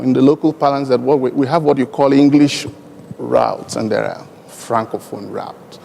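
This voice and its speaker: A man with a Nigerian accent and Igbo as his native language, speaking deliberately and emphatically in elaborate formal phrases on a conference panel. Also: medium pitch, creaky, mellow, a little hiss or hum. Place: large conference hall. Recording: long hall reverb from conference PA.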